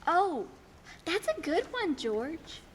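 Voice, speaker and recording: High-voiced whimsical angel, played by a young woman. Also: sing-song, slow, heavily acted. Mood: gentle, chirpy. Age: teenager. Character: whimsical, literal-minded female guardian angel, centuries old. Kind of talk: radio play acting. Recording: noisy echoey hall stage recording.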